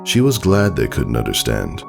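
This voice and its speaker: Very deep, gravelly male narrator. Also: wildly swooping pitch, moderate pace, music in the background. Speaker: narrator, fan fiction reader. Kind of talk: scripted storybook narration.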